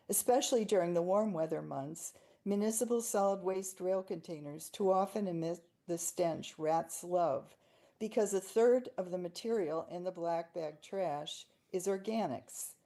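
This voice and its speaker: A woman testifying remotely over a video call, reading prepared testimony in a steady, pointed way. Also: lowish voice. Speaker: public testifier.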